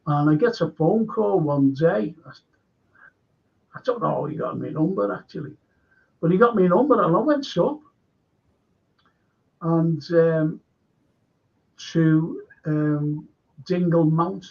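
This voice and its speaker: Gravelly-voiced older Liverpool man. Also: a little high, slow, long pauses. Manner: rambling storyteller, acts out quoted voices. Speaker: radio show host and local historian. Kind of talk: casual radio chat, reading listener messages. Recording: dull lo-fi sound.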